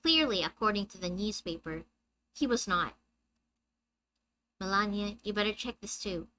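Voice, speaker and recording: A young woman with a high-pitched, squeaky voice, chatting with her viewers on a live game stream and reading the story text aloud. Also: normal speed, sharp tone, a little hiss or hum, poor audio. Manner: chatty, reads dialogue aloud and answers chat.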